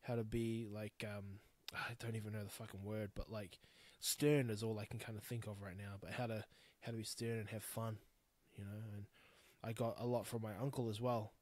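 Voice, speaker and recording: A man's voice: fairly low pitch, little pitch movement, normal speed, few pauses, a little mellow, no room sound. Blunt, swearing New Zealand man in his thirties. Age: 30s. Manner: blunt, swears freely, rambling and heartfelt. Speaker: podcast host. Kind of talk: candid solo podcast monologue.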